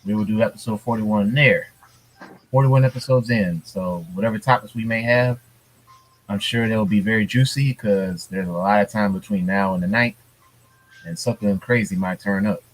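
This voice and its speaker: A man co-hosting a casual gaming podcast from home, talking in a relaxed, rambling way with lots of fillers. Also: on the low side, normal speed, a little hiss or hum, rough recording. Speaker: podcast co-host. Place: hurricane-prone US city.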